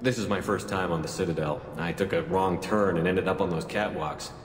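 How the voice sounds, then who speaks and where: An actor playing a steady, commanding human agent in a video game, calm, firm and plain-spoken. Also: male voice, low-pitched, brisk, big-room sound, natural voice. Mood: casual, disarming.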